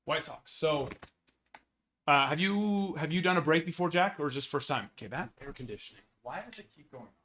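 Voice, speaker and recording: Man, card break host, casual live chat, rambling, slightly hoarse, bright tone. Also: animated, brisk. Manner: chatty, rambling, upbeat sales pitches. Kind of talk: casual livestream chat with viewers.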